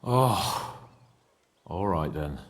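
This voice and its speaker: Grumbling young nobleman,: game cutscene, petulant, very animated intonation, slightly husky. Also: low voice, extremely slow, big-room sound, heavily acted. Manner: petulant, complaining. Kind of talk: video game cutscene acting.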